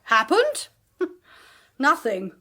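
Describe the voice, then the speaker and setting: An English actress playing a jolly, domineering medium. Her voice sits high and she talks briskly, with teasing asides. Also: wildly swooping pitch, sharp tone. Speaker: medium and clairvoyant. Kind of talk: 1980s radio drama acting.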